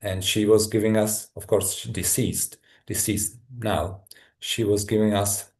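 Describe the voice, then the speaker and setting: A middle-aged man from Czechoslovakia with a Czech accent, a guest telling his story in a halting, thoughtful way with many restarts. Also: low-pitched, darkish tone. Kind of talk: personal story in a conversation.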